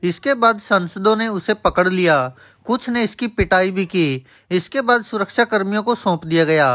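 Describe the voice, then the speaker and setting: A man's voice: high-pitched, normal speed, fairly bright tone. A man narrating a scripted news report as a voice-over in a formal reporting manner.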